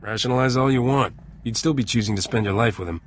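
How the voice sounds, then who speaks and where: A man's voice: medium pitch, fast, a little hiss or hum, close to an everyday voice. An actor playing a roguish, cynical male starship captain with a teasing, wry manner, in video game dialogue. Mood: teasing, pointed.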